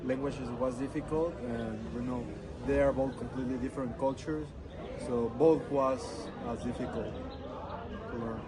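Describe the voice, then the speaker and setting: A man with a middle-pitched voice giving conversational interview answers. His non-native English comes out hesitantly, over the noise of a busy crowd outdoors. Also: steady tone, normal speed. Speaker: interview guest.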